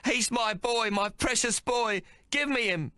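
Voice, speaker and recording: Man voicing a desperate mother, high pitched, fierce, pleading, audiobook. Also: a little slow, pushed and bright, smooth, no echo, clean studio sound, exaggerated voice. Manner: screaming, pleading.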